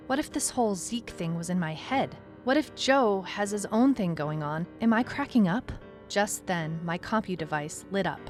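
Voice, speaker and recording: A woman acts a deadpan, uneasy female detective telling her own story in a noir-style audio drama. Her pitch sits in the middle range, with music playing faintly underneath. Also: close to an everyday voice. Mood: doubting, tense. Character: wry, anxious female detective narrating her own case. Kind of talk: noir-like scripted first-person audio drama narration.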